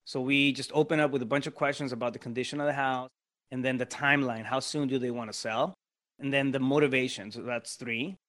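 A man's voice: mid-range voice, fairly even intonation, fairly quick, a bit bright. Mexican-accented man explaining business steps. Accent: Mexican Spanish. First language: Spanish. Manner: explanatory, lays out steps, frequent tag questions. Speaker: podcast guest, real estate investor. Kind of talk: casual interview talk.

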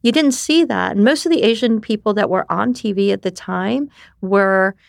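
A middle-aged woman from New York, whose first language was Japanese, telling her life story in a candid, animated way and often tagging on "right?". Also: medium pitch, moderate pace, gravelly, no room sound, studio quality. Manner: animated, frequent restarts and "right?" tags. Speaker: podcast guest.

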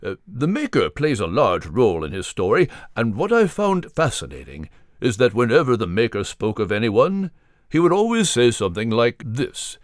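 A man voicing a wise, kindly mentor figure who speaks in a gentle, fatherly, measured way. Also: mid-range voice, wildly swooping pitch, no echo, clean studio sound, voice pushed down.

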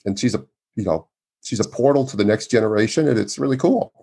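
Brief: man in his sixties, obstetrician guest, loud and emphatic, husky lower voice. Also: animated, quick pace. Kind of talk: conversational interview.

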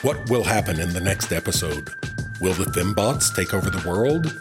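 Serial narrator: portentous male announcer, lowish pitch, old-time cliffhanger delivery over clear music. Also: animated, moderate pace.

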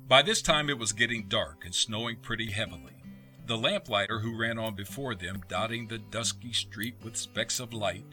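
A man narrating a radio play in a measured, expressive, literary storytelling style. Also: lowish voice, soft background music.

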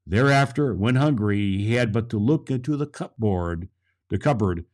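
A man narrating a children's story as a storyteller, with theatrical, deliberate phrasing. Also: fairly low pitch, a little mellow.

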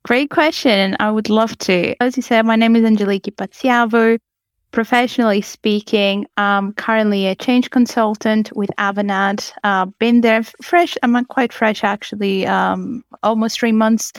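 A young woman of Greek and Italian background, speaking English as a guest, enthusiastic and animated as she talks about her work. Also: a little high, normal speed, smooth, no room sound. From Greece and Italy. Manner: enthusiastic, animated, occasional laughs and restarts. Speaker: guest, change consultant. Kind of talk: podcast interview.

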